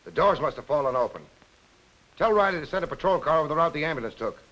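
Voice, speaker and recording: An actor playing a gruff, sarcastic, impatient police lieutenant in a 1940s film. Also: male voice, on the high side, lively intonation, quick pace, a bit bright, highs cut off. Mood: irritated. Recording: very noisy old 1940s film soundtrack.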